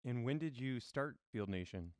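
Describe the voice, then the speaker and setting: A man in his forties hosting an interview, asking a longtime friend questions in a warm, slightly rambling way. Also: mid-range voice, expressive, moderate pace, dry recording, clean studio sound. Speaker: podcast co-host, interviewer.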